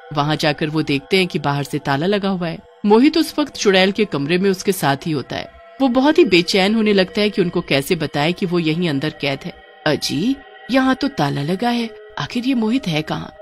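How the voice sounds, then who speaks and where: Animated woman narrating a horror story. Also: lowish voice. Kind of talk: dramatic scripted narration of a cartoon horror story.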